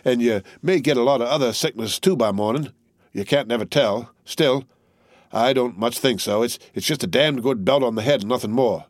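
A man voicing a gruff army corporal who talks bluntly in a folksy country dialect. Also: medium pitch, normal speed, no echo, clean studio sound, close to an everyday voice. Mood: matter-of-fact.

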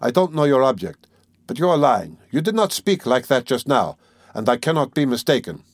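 A man's voice: medium pitch, sing-song, moderate pace, no echo, clean studio sound, pitched above the performer's own voice. A man voicing a haughty, tormented young suspect in an audiobook, imperious and defiant. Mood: accusing. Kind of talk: dramatic audiobook character reading.

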